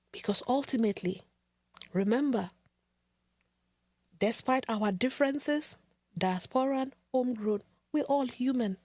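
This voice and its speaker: A woman hosting a podcast, giving an earnest, measured and rhetorical monologue. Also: sits high, no echo. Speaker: podcast host.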